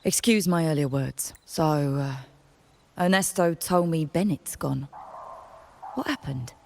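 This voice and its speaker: An actress playing a guarded, world-weary commoner trader in a video game, blunt and no-nonsense. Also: female voice, sits low, takes it slowly, gravelly, exaggerated voice. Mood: softening, weary. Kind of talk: dramatic video game voice acting.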